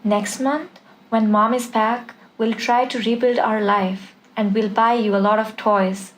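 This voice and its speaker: Woman doing a caring teen big sister, anxious type, kinda high voice, film script read. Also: steady tone, unhurried, slight background noise, lightly acted, low-quality recording. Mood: tender, hopeful. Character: caring, anxious seventeen-year-old girl minding her baby sister. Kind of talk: script table read acting.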